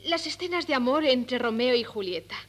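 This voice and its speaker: A woman's voice: high voice, expressive, normal speed, a bit bright. An actress playing a polite, demure young woman in a 1970s radio play, courteous and composed.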